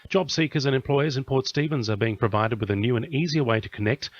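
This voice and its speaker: A man's voice: mid-range voice, fast. A man with an Australian accent reading a scripted radio news bulletin. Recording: dull lo-fi radio audio.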